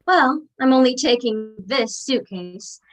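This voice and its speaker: An actress playing a young college-bound daughter, wounded but resolute, in a staged play reading. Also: female voice, on the high side, animated, takes it slowly, soft background music. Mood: reassuring, knowing.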